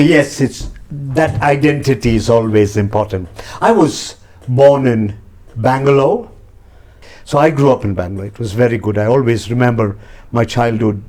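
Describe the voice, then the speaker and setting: An older man with an Indian English accent speaks earnestly and reflectively, his pitch climbing often as he makes a point. His voice sits in an ordinary middle register. He answers interview questions as a church representative. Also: a little mellow.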